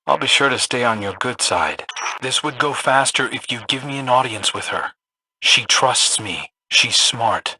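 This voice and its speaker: A man's voice: medium pitch, a little slow, sharp tone, husky, heavily acted, poor audio. An actor playing a brooding, earnest young male warrior with dry wit in a video game. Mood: wry.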